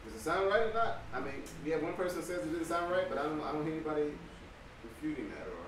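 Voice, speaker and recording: A man's voice: fairly high pitch, lively intonation, fast, crowd noise. A man leading a music workshop, casually coaching students and joking with them in a large wooden room. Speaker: workshop leader. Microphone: far room mic. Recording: echoey room from far off.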